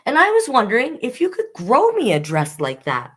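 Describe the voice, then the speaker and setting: A woman's voice: on the high side, huge pitch swings, moderate pace, a bit bright, husky, voice pushed up, full character voice. A woman voicing an earnest, hopeful young child who pleads sincerely in a picture book.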